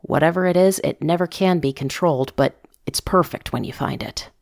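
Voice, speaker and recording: A woman's voice: low voice, fairly quick, vocal fry, darkish tone, no room sound, clean studio sound. A woman voicing an earnest, tender middle-aged father in an audio drama, reflective and fatherly in manner. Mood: tender, musing.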